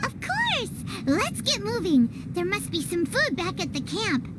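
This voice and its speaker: A woman voicing a small, childlike fairy companion in a video game, chirpy, excitable and outspoken, with a squeaky voice. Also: a little slow, heavily acted. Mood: cheerful, encouraging. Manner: exclamatory, excitable. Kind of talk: animated game dubbing. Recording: clear loud noise behind the voice.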